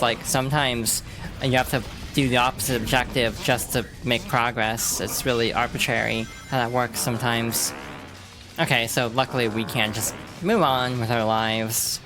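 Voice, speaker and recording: A woman streamer rambling through casual let's-play commentary, with lots of fillers and restarts. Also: extremely low pitch. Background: game music.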